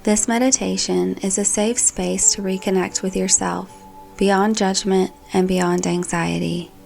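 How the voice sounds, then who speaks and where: A woman narrating a scripted guided meditation in a calm, gentle, soothing manner. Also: medium pitch, measured pace, vocal fry. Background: background music.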